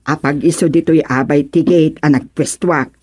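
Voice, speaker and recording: A woman's voice: low voice, fairly even intonation, moderate pace, darkish tone, slightly rough, no echo. An actress with an Ilocano accent playing a chatty middle-aged woman who sells barbecue, calling out cheerfully to customers. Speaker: radio drama actress. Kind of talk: radio drama acting.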